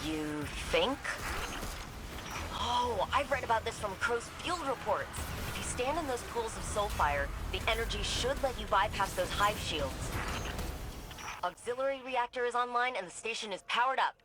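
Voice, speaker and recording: An actress playing a wry, brisk female mission operator in a video game, with an informal, quippy and practical delivery. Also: fairly high pitch, animated, lightly acted. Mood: practical, encouraging. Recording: clear background ambience noise.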